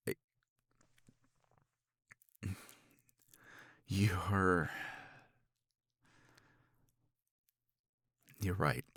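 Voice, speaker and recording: Man playing frustrated male academic, jealous, intimate ASMR roleplay, slow halting delivery, close dry recording, intense. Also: rumbling low, bright, forceful tone, no echo, exaggerated voice. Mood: apologetic, confessing. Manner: halting, intense, slipping into breathy near-whispers. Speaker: audio roleplay voice actor.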